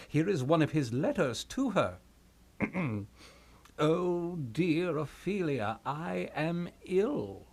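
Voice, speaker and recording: A man's voice: high voice, full character voice. A man playing a fussy, pompous old royal counselor who rambles and dodders in a theatrical Shakespeare puppet show.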